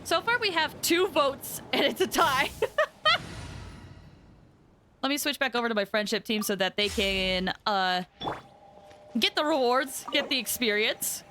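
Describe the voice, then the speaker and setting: A woman livestreaming a game, chatting casually with viewers in a bubbly, expressive way as she thinks aloud. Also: on the high side, a little slow, long pauses. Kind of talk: casual gameplay chat with viewers. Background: game music.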